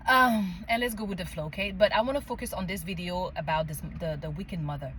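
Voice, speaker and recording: A woman's voice: on the low side, a little fast, fairly bright tone, a little hiss or hum. A woman from France and Mali with a French accent, telling a personal story casually and emphatically, often checking in with the listener. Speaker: spiritual vlogger sharing personal story.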